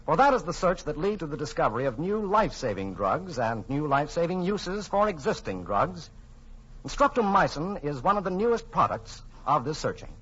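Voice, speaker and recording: A male radio announcer of the 1940s with a fairly high, very lively and slightly rough voice. He reads formally and emphatically on a muffled old recording. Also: brisk. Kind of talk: 1940s sponsor announcement, scripted narration.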